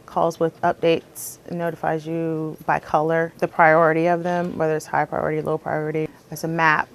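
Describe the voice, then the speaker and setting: Creaky-voiced woman explaining her dispatch job. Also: fairly low pitch. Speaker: police emergency dispatcher. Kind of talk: informal explanatory interview.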